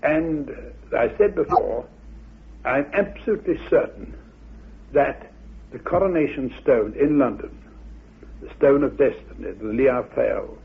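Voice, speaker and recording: Slow, creaky-voiced man on old radio. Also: mid-range voice. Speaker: researcher expounding theories about Atlantis. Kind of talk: interview monologue, explaining myth and history. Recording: muffled, narrow-band old radio recording.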